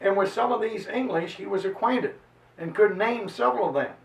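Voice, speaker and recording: A man giving an emphatic, declamatory dramatized reading of a historical chronicle, stretching out phrases deliberately. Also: sits high, gravelly. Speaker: reader and lecturer on history. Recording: roomy echo, voice distant from microphone.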